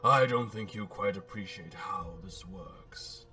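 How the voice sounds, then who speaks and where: A man's voice: sits low, slow pace, pushed and bright, recorded from a distance, faint music behind, full character voice. A man voicing an eloquent, pompous robot warlord who speaks with theatrical, polite menace in a dramatic comic book reading. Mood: menacing, condescending.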